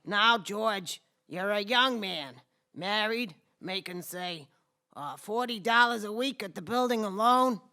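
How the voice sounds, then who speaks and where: Smug old rich schemer, condescending, bright crisp voice, old radio play. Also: male voice, sits high, exaggerated voice. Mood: smug, goading. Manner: deliberate, condescending, persuasive. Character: smug, scheming old male small-town tycoon. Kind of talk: old-time radio drama acting.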